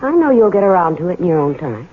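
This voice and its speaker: An actress playing a patient, caring working-class housewife with a homely, soothing manner in a 1970s radio drama. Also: female voice, on the low side, sing-song, quick pace. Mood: gently coaxing. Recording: dull, lo-fi old radio broadcast recording.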